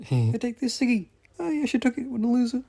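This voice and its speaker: Animated, gravelly woman in anime parody. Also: fairly high pitch, brisk, very noisy. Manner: crude, sweary, casual slangy delivery. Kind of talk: comedic anime parody fan dub.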